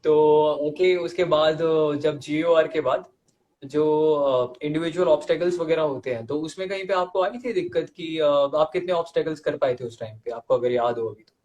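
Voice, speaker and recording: A man's voice: fairly high pitch, moderate pace, darkish tone. A young man interviewing a guest in casual chat, often agreeing and laughing along.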